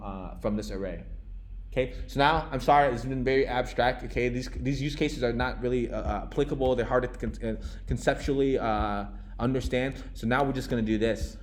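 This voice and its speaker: A young man leads a live coding class in a relaxed, chatty way, restarting sentences and checking in with the students. His pitch sits mid-range for a man. A faint hiss runs under the recording. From United States. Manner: casual, animated teacher, many restarts and "okay?" checks. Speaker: coding instructor.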